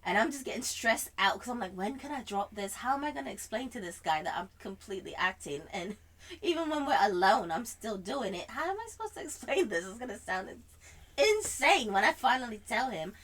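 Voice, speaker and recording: Woman, put-on British accent, husky, highish pitch, theatrical storyteller, casual. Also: sing-song, brisk, a bit bright. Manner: theatrical, acts out dialogue. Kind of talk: animated anecdote in casual chat.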